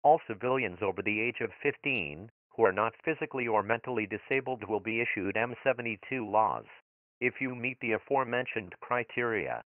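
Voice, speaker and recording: A low male voice comes through a tinny, phone-like broadcast filter, very dry. A man plays an impersonal emergency alert announcer, reading official notices in a flat, formal manner. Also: no room sound. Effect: radio broadcast filter.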